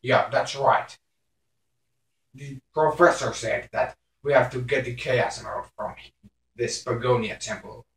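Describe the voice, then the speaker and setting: A man voicing a blunt young cartoon hedgehog hero in an improvised game parody, with a halting delivery. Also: medium pitch, steady tone, lightly acted. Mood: casual. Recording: roomy recording over game music.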